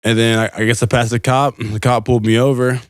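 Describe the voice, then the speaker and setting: A man's voice: mid-range voice, little pitch movement, fairly quick, clear, resonant tone, dry recording, clean studio sound. Young man swapping stories. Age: young adult. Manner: informal, meandering, slangy, quotes dialogue in retellings. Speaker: podcast storyteller. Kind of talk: casual comical storytelling.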